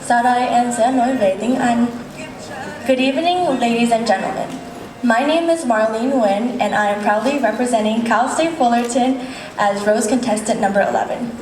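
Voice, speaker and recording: A young woman, a native Vietnamese speaker, giving an earnest, measured pageant speech as a contestant on stage. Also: on the high side. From United States. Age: young adult. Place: large echoey hall. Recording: echoey hall from far off, faint hiss.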